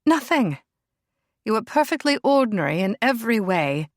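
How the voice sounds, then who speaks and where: A woman's voice: on the high side, very animated, moderate pace, no echo, studio quality, voice pushed up. A woman voicing a haughty young royal princess, cold and clipped in her snobbery. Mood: disdainful.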